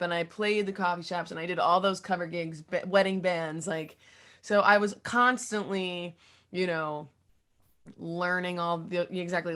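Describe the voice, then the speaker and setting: A young woman with a fairly low voice speaks as an interviewed singer-songwriter. She is candid and informal, working out her answers in fragments and often acting out her own thoughts as quotes. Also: fairly bright tone. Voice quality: low, deep voice. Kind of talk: casual interview chat.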